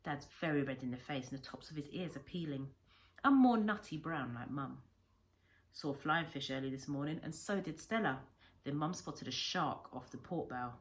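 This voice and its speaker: A woman reading a storybook aloud like a primary teacher, with deliberate, expressive phrasing. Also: sits low.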